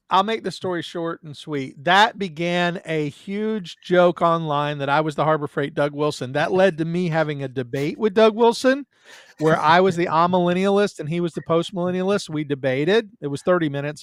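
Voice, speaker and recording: A man with a Southern US accent chats as a guest, poking fun at himself as he tells stories. His voice sits a little high and comes across smooth and resonant. The sound is close and dry, with no room in it. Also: moderate pace, no room sound. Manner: self-deprecating, joking storyteller. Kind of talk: casual chat.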